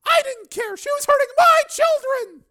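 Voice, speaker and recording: A man's voice: normal speed, creaky. A man voicing a distraught grieving woman. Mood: grief-stricken. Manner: high, strained, tearful delivery.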